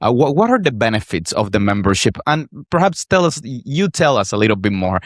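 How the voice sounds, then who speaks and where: A man's voice: mid-range voice, very animated, brisk, no echo, studio quality. A man hosting an interview podcast, asking questions in a thoughtful, slightly hesitant way. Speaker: podcast host.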